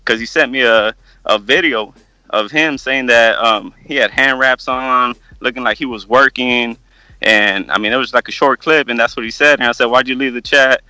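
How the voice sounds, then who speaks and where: A man's voice: mid-range voice, normal speed, sharp tone, no echo, rough recording. A man calling in as a fighter, telling a rambling story loosely with many fillers. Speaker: caller, fighter. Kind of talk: casual call-in chat.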